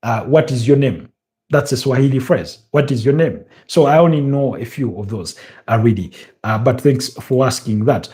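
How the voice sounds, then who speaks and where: A man from Uganda with a Ugandan accent, hosting a casual football preview stream and talking in a halting way, full of fillers and restarts. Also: medium pitch, warm tone.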